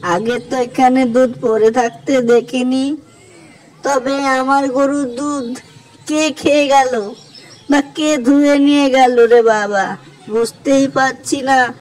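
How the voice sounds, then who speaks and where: A man voicing an exclaiming, rustic village cowherd, doting but quick to scold, in a children's cartoon story. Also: extremely high pitch, fairly even intonation, pitched above the performer's own voice, low-quality recording. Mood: baffled, indignant. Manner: exclaiming, rustic, talking to himself.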